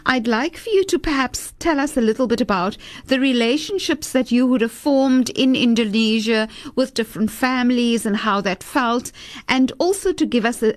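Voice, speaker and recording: Woman radio host, slightly high voice, dry close sound, measured questions. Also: dry recording. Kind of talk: radio interview.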